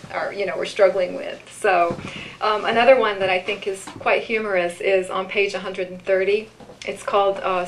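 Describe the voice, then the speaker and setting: A woman giving a live poetry reading, with deliberate, measured delivery and informal commentary between pieces. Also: mid-range voice, creaky, noisy background. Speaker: poetry reader.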